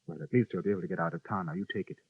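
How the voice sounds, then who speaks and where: A man's voice: sits low, animated, racing, heavily acted. A cool, formal gunman. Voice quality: crisp, formal, drily menacing. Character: cool, polite gentleman gunman, middle-aged man. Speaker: narrator and lead. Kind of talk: 1950s radio drama acting. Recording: tinny old radio broadcast, faint hiss.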